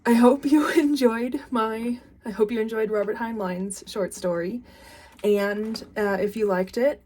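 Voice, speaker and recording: A woman's voice: a little high, steady tone, unhurried, mellow. A woman host speaking casually to viewers as she signs off a story-reading video. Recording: distant from the mic.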